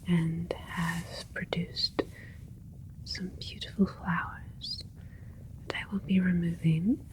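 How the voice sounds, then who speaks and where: A woman playing a gentle alien scientist in a whispered ASMR sci-fi roleplay, explaining things in a soothing, clinical way. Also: fairly low pitch, low-quality recording. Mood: gentle, proud. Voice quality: breathy whisper throughout. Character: gentle alien scientist doctor, proud of a hybrid creation.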